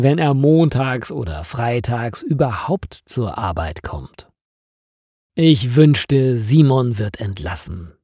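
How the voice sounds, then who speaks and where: A man reads a story slowly and carefully, with lively rises and falls in pitch. The delivery is clearly articulated and expressive, made for learners to follow along. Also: no room sound, studio quality. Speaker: narrator of stories for German learners. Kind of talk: slow scripted story narration.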